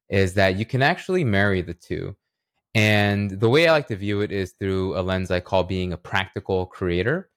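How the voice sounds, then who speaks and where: A man's voice: on the low side, no echo, studio quality. A man speaking as an interview guest, explaining ideas in a reflective way and checking in with the listener as he goes. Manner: reflective, uses analogies and rhetorical check-ins. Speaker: podcast guest, writer and illustrator.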